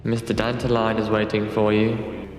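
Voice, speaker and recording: An actor playing a menacing thing posing as a man, speaking slowly and hollowly through heavy reverb in an audio drama. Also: lowish voice, level tone, creaky, slight background noise. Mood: hollow menace. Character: menacing blank-eyed thing posing as a male friend. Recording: long echoing reverb, faint music.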